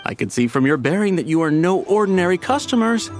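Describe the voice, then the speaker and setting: A man's voice: sits high, sing-song, fast, soft background music. A man voicing an eager, polite shopkeeper in a video game, with obsequious salesman patter. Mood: eager, ingratiating. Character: eager, polite male tea shop merchant.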